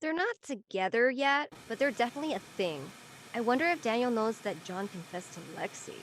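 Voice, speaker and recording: A woman voices a high school girl in an audio drama, curious and easily incredulous. Her voice is high, with faint noise around it. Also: lively intonation, a little fast, close to an everyday voice. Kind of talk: live audio drama table read.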